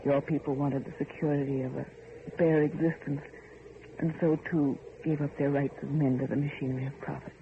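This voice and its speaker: An actress playing an earnest, anguished heiress in an old-time radio drama, with an emotional, theatrical delivery. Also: female voice, sits low, little pitch movement, fairly quick. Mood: fervent. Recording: tinny, faintly noisy vintage radio recording.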